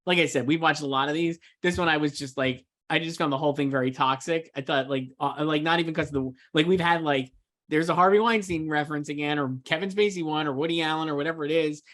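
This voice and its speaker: A man's voice: on the high side, fairly even intonation, quick pace, fairly bright tone. Man chatting casually about movies, emphatic. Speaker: co-host, film awards editor. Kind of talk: casual chat, film commentary.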